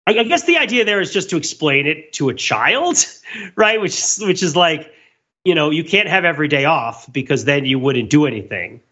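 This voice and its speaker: A man's voice: fairly high pitch, sing-song, moderate pace, pushed and bright, slightly rough, lo-fi sound. A man hosting a podcast, talking casually in a rambling, analytical way. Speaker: podcast host. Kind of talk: casual discussion of a children's TV special.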